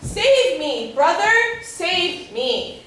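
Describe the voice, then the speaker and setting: Woman playing a very high, frightened society lady. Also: very animated, extremely slow, a bit bright, heavily acted. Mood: panicking. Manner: fluttery, melodramatic. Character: frightened, faint-hearted young upper-class lady. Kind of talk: staged reading of a period murder mystery. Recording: very echoey, distant mic, faint noise.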